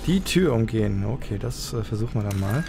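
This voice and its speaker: A man's voice: fairly low pitch, expressive, a little fast, creaky. A man giving casual let's play commentary, half muttering to himself as he plays. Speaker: let's player. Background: game music.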